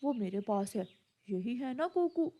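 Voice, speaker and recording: A woman's voice: a little high, lively intonation, measured pace, mellow. A woman voicing a friendly, eager young bird in a cartoon story, with a simple childlike manner. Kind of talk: Hindi cartoon story voice acting.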